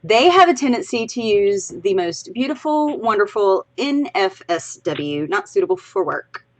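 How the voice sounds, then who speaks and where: A woman's voice: mid-range voice, very animated, moderate pace. A woman from Louisiana with a Southern accent, co-hosting a casual livestream, rambling chattily and a bit tipsy. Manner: chatty, rambling, giggly asides. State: tipsy from drinking. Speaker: podcast co-host.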